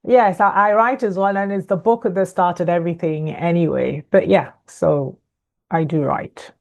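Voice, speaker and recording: A middle-aged woman from Ghana with a lowish voice, talking as an interview guest. She is chatty and relaxed, laughs readily and leans on fillers like "um" and "so". Her speech sounds unscripted. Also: a little slow, gravelly. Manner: chatty, laughs easily, many fillers and restarts. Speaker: guest, author and coach. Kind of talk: conversational podcast interview.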